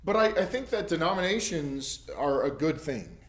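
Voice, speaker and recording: A man's voice: fairly high pitch, very animated, moderate pace. A man with a somewhat scratchy voice teaches a small church Bible study in front of a camera. He talks loosely and conversationally, often circling back with ums and restarts before landing his point. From United States. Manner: rambling, many ums and restarts. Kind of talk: casual teaching to a small group. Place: church classroom. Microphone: camera recording.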